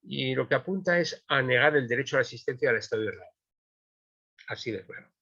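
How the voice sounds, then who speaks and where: Man, forceful bright voice, emphatic arguing, online panel guest, muffled lo-fi audio. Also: mid-range voice, lively intonation, fast, gravelly, husky. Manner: emphatic, argumentative, many fillers.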